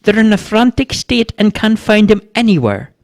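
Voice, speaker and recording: A man as a chatty older Irish woman, high-pitched. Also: big pitch swings, moderate pace. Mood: apologetic, gossipy. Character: hard-of-hearing older woman neighbour, chatty and apologetic.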